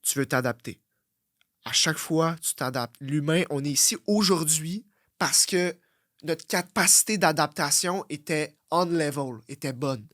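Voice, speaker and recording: Québécois guy ranting on his podcast, cursing, loud then muttering, kinda high pitched, no room echo. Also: unhurried, clean studio sound. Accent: Québécois French. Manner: brash, profane, swings from loud outbursts to low muttering. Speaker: fitness coach and podcast host. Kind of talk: blunt motivational monologue.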